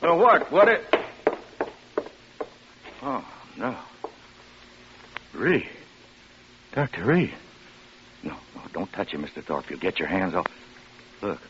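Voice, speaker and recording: A practical, folksy real estate agent in a vintage radio play. His voice is bright and forceful with wide swings of pitch, over a dull old recording with faint noise. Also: wildly swooping pitch, takes it slowly, long pauses, full character voice. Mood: alarmed. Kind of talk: 1950s radio drama acting.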